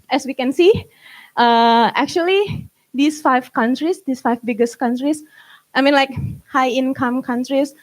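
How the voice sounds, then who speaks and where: A woman's voice: a little high, low-quality recording. A young woman from Indonesia with an Indonesian accent, giving an informal slide presentation and often correcting herself. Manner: halting, frequent self-corrections. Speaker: guest speaker. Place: live summit event.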